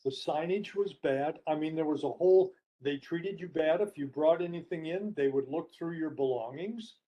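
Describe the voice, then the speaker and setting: A man with a fairly high but soft, mellow voice tells stories in a webinar discussion. He is emphatic and stacks up points in short phrases. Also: normal speed, rough recording. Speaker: guest speaker and book co-author. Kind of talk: conversational storytelling in a webinar discussion.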